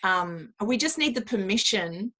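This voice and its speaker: A woman with a mid-pitched voice talks as an invited expert in an interview. She explains things conversationally and offers practical advice, illustrating her points as she goes. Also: lively intonation, measured pace, pushed and bright. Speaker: interview guest, music therapist.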